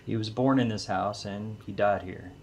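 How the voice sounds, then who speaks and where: An actor playing a folksy, plainspoken country widower, a weary haunted homeowner, in an audio drama. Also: male voice, on the low side, normal speed, darkish tone, slight background noise, close to an everyday voice. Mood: guarded. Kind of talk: audio drama character dialogue.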